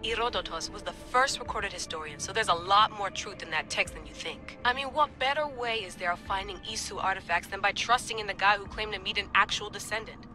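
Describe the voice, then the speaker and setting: A woman's voice: medium pitch, brisk, few pauses, over music, close to an everyday voice. A woman playing a self-assured modern artifact hunter and team boss, earnest and firm, in a naturalistic game cutscene. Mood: resolute.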